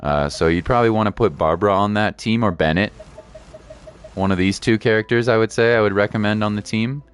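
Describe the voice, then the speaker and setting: A man's voice: fairly low pitch, brisk, smooth. A man streaming a game and chatting casually with viewers, slangy and reassuring, repeating his points for emphasis. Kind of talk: casual livestream chat with viewers.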